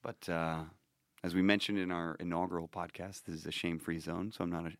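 A man's voice: low voice, flat delivery, a little fast, no room sound, clean studio sound. A man hosting a podcast in casual chat, a bit nasal from a cold, rambling and restarting his sentences with jokes. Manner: rambling, self-interrupting, joking. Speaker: podcast host.

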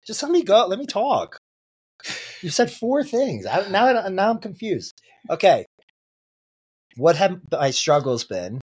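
A man's voice: huge pitch swings, a little slow, long pauses, husky. A man in his thirties in casual chat, teasing his friend and swinging his voice up when he mocks. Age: 30s. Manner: teasing, jumps high when mocking. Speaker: podcast guest. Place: Los Angeles.

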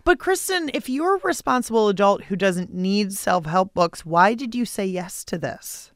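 A woman's voice: mid-range voice, animated, moderate pace, no room sound, studio quality. A woman hosting a radio show, interviewing guests in a casual, chatty way.

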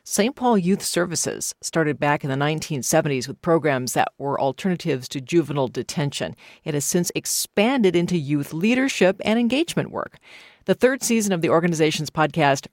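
A woman's voice: low-pitched, animated, normal speed, darkish tone, no echo, clean studio sound. A woman with an American accent, hosting a broadcast interview. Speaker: radio host interviewing a guest.

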